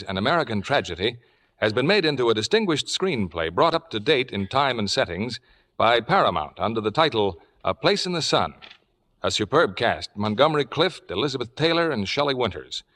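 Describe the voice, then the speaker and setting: A man's voice: mid-range voice, big pitch swings, a little fast, a bit bright. A man announcing on a 1950s radio show, reading scripted copy in a polished, formal, promotional manner.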